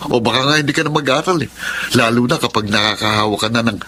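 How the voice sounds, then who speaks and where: Man doing a cocky filipino guy in radio drama, teasing smooth talker, noisy background. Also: mid-range voice, fast talker, sharp tone, natural voice. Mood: sly, coaxing. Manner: teasing, persuasive. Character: cocky young male hustler tempting with money.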